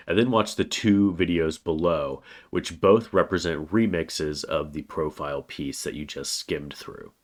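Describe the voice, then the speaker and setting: A man with a cold, a college writing instructor giving a recorded weekly course overview in a plain, steady way with frequent "uh" fillers. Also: rumbling low, measured pace, few pauses. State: has a cold, slightly congested.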